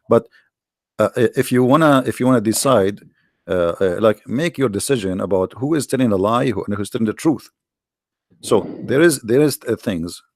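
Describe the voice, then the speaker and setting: Gravelly, mocking male debater. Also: fairly low pitch, dry recording. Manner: mocking, sarcastic, rapid-fire questions. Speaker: debate host. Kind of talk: live online debate.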